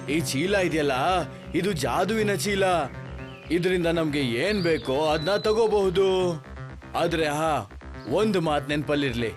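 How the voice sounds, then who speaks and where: A man playing a kindly, patient grandfather who explains things gently in an animated moral story. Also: a little high.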